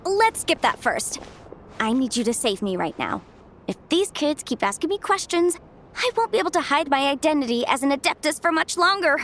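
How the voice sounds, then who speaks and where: A woman voicing a cheerful, easily flustered young horned scholar in a video game, chatty and expressive. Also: high voice, normal speed, slight background noise, full character voice. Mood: relieved, urgent. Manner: chatty, expressive, hesitant when put on the spot. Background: background music.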